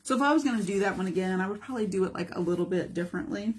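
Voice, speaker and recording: A hoarse woman, a mixed media art teacher, chatting casually through a live craft demonstration, greeting viewers by name. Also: medium pitch, rapid-fire. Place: home art studio.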